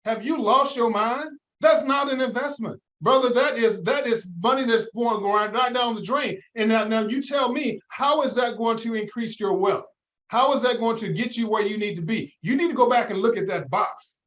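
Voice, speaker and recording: High-voiced emphatic middle-aged man. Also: normal speed, a bit bright, highs cut off. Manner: emphatic, preacher-like, asks many rhetorical questions. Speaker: investment advisor, guest. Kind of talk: interview, motivational talk.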